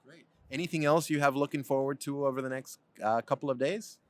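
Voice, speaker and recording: A man's voice: mid-range voice, steady tone, a little fast, no echo. Male conference host, easy chatty interviewer, dry close recording, mid-range voice. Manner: friendly, chatty, with fillers like "you know". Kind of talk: conversational interviewing. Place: convention center floor.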